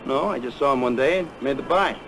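An actor playing a wary, wisecracking private investigator in a 1960s television detective drama. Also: male voice, medium pitch, quick pace, noisy background, lightly acted. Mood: evasive. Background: score music.

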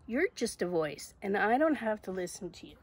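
A woman voicing a bold, imaginative little girl from a picture book, speaking emphatically, with a slightly husky voice. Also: medium pitch, big pitch swings, moderate pace. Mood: defiant, proud. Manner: emphatic, declarative, defiant.

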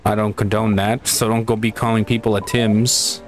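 A Canadian man with a Canadian accent giving casual, excitable let's-play commentary, swearing and laughing often. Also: lowish voice, moderate pace, over music. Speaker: gaming channel host.

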